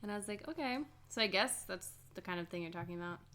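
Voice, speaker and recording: Bright-voiced young woman chatting. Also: medium pitch, fast talker. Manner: chatty, laughs often, lots of "like". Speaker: podcast co-host. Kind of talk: casual chat between sisters.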